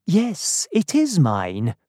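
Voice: mid-range voice, wildly swooping pitch, extremely slow, warm tone, no echo, clean studio sound, heavily acted. A narrator voicing a nervous male historian in an audiobook story, anxious but polite. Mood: polite, curious.

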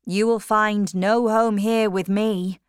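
Stern angry brother, harsh and accusing as a lady reader puts him on, slow and dry. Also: medium pitch, steady tone, clean tone, no room sound, pitched above the performer's own voice, natural voice. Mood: cold anger.

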